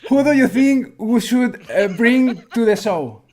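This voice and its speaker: A man with a Spanish accent and a high voice, hosting an interview. He asks his questions slowly and haltingly in English, often repeating them. His pitch sits well above most men's. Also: lively intonation, darkish tone. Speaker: podcast co-host asking questions.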